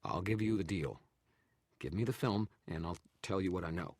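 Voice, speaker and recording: A frightened, stammering man, as a male audiobook narrator puts him on, raises his voice well above the narration. The tone stays husky and rough and is recorded dry and close, with nervous pleading running through every line. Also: low-pitched, normal speed, a little mellow, no room sound, pitched above the performer's own voice. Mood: bargaining. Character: jittery frightened male photographer. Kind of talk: audiobook character reading.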